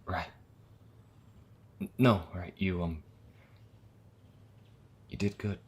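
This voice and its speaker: A man playing an earnest, anxious young priest healer in an audio drama, speaking in a halting, hesitant way. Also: low voice, fairly even intonation, a little mellow, slightly rough, heavily acted. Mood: subdued urgency. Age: young adult.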